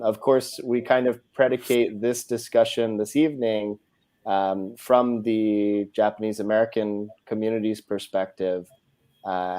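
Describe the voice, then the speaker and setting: A man moderating a panel discussion, speaking in a measured way with frequent filler words. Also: fairly low pitch, mellow.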